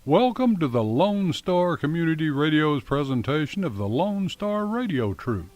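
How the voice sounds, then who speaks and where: A man hosting and narrating a scripted radio programme in a formal, deliberate, theatrical announcer style. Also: on the high side, sing-song, a little mellow. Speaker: host and narrator. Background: music behind the voice.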